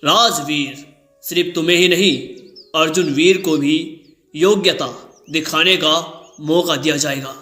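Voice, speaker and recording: A man playing an elderly, fatherly king in a cartoon story, speaking in a measured, formal and grave manner. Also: on the high side, fairly even intonation, sharp tone, echoey room. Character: old male king, fatherly, deliberate and grave.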